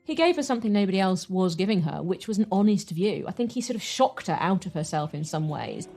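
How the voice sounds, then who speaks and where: A woman's voice: mid-range voice, very animated, fast talker, dark tone. A woman giving conversational commentary as a documentary interviewee. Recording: music clearly behind the voice.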